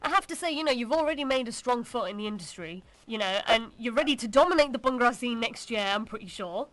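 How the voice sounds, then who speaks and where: A woman's voice: fairly high pitch, expressive, fast, dry recording, rough recording. A woman hosting a casual live radio interview in an upbeat, encouraging way. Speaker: radio host, DJ.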